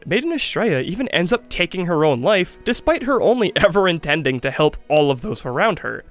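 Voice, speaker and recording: Male video essayist, 20s; lively, higher voice, emphatic key phrases, music underneath. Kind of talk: conversational scripted video essay commentary on games. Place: small studio with acoustic foam. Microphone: studio microphone.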